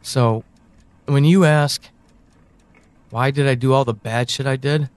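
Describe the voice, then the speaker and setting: A man playing a brooding, knowing confidant in an audio drama monologue, speaking deliberately to one listener with pointed pauses. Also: mid-range voice, steady tone, clean tone, dry recording, close to an everyday voice. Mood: probing, ominous. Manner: deliberate, halting delivery with sighs and pointed pauses. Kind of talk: audio drama monologue, second-person address.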